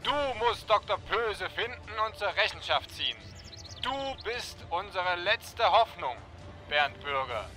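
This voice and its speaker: Casting: male actor as grave cartoonish president for a radio comedy, high, very tense voice, faint music behind. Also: lively intonation, a little slow, pushed and bright, exaggerated voice. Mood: urgent, commanding. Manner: solemn, dramatic. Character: grave, urgent male president giving mission orders. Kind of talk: comic audio drama acting.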